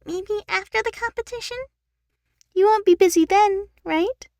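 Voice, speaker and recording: A woman voicing a timid, pleading young chipmunk sister who talks haltingly in an audio story. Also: very high-pitched, mellow, no room sound, clean studio sound, voice pushed up. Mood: pleading, let down. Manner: hesitant, halting. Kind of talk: fan fiction audio story acting.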